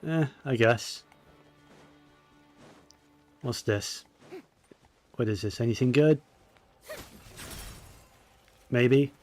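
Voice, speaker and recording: Guy casually talking himself through a game, low-key, average pitch, bit of music behind. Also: slow, darkish tone. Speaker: gaming streamer. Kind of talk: casual gameplay commentary. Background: faint game music.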